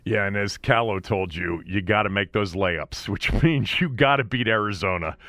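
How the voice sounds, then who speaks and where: A man's voice: on the low side, normal speed, a bit bright, no echo. A man hosting a sports talk show in a conversational style. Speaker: sports talk radio host.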